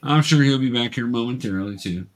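A man's voice: medium pitch, quick pace. A man acting as game master, conversationally narrating a tabletop game and patiently explaining things step by step to young players.

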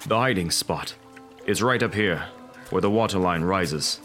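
A low-voiced captive turncoat, acted by a man for a radio drama. He is insistent and keen to justify himself as he offers to lead the way. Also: a little slow, a bit bright, soft background music.

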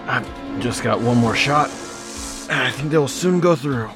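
A man's voice: mid-range voice, a little slow, over music, natural voice. A man voicing a wounded, tough male game warden who speaks in terse, commanding lines in a fan audio drama. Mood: urgent. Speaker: voice actor.

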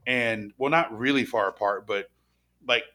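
A man from the US west coast in his thirties, co-hosting a podcast in relaxed casual chat. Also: medium pitch, unhurried, a little hiss or hum. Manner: relaxed, chatty, repeats words while starting sentences. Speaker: podcast co-host.

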